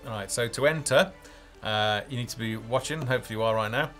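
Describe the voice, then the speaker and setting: A man's voice: mid-range voice, fast talker, fairly bright tone. A man hosting a casual game developer live stream, talking off the cuff in a hesitant, rambling way as he thinks aloud. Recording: music playing behind the voice.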